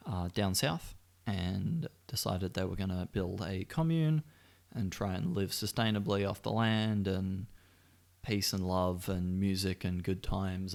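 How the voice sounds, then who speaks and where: A man co-hosting a podcast in casual chat, with a sweary, wry and joking manner. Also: low-pitched. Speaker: podcast co-host.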